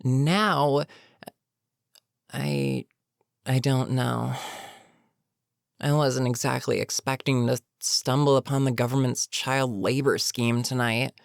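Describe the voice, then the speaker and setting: A voice actor playing an older, experienced villain in an audio roleplay, weary and dryly sarcastic but reluctantly protective, with a wry, deliberate delivery. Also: medium pitch, fairly even intonation, creaky, dry recording, clean studio sound. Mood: weary, pondering. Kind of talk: intimate audio roleplay monologue.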